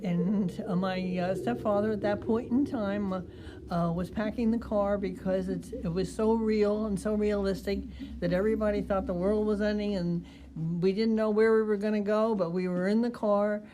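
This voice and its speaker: An elderly woman in her eighties, haltingly recollecting in an interview. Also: medium pitch, fairly even intonation. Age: 80s. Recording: noisy recording.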